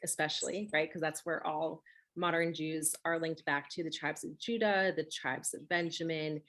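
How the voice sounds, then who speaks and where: Woman interviewer, russian first language, kinda low voice, emphatic, keeps going "right?" in casual convo. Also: steady tone, moderate pace, fairly bright tone. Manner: emphatic, often checks with "right?". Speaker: host. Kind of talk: conversational interview talk.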